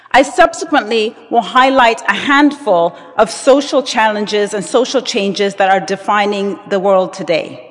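A woman delivers a formal address to a large audience. Her voice sits fairly high, and each phrase is measured and emphatic. The sound carries a long reverb from the hall. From Zambia. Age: 50s. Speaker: guest speaker.

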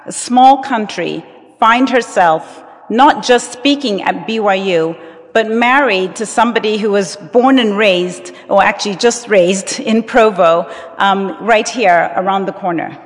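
A woman's voice: mid-range voice, unhurried. Woman speaking in a reverberant hall. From Zambia. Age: 50s. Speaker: guest speaker. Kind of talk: formal devotional address. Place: large auditorium with audience.

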